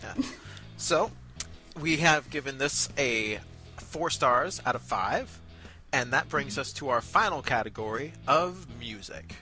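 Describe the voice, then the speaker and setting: A man co-hosting a casual review show, giving measured, agreeable commentary with some hesitation. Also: mid-range voice, expressive, sharp tone, soft background music. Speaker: co-host of an amateur review show.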